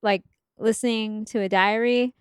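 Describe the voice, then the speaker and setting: A woman hosting a podcast, chatting in a relaxed, agreeable way with many fillers. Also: mid-range voice, moderate pace, smooth, dry recording, studio quality. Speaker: podcast host. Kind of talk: casual podcast conversation.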